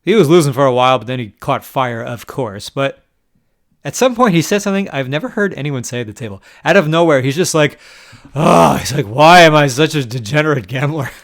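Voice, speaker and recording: A man's voice: a little high, expressive, a little fast. Wry, exasperated man telling poker stories. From New York. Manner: wry, exasperated storytelling with short laughs. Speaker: poker vlogger. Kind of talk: casual storytelling monologue.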